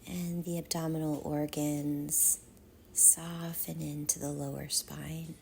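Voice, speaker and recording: A woman leading a guided meditation in a soft, half-whispered, soothing voice, with measured phrases and long pauses. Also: deep voice, little pitch movement, vocal fry, a little hiss or hum.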